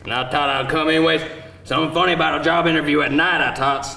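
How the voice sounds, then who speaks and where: A man playing an absurd, showy jilted boyfriend with halting, rambling, ungrammatical talk in a live table read. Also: a little high, fairly even intonation, creaky, sharp tone. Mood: suspicious, indignant. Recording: roomy live event, distant mic, faint noise.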